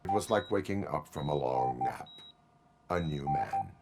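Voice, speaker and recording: A man playing a stoic cyborg policeman in a video game, speaking in terse, measured, formal phrases with a flat synthetic tone. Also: extremely low pitch, heavy background noise. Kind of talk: video game cutscene acting.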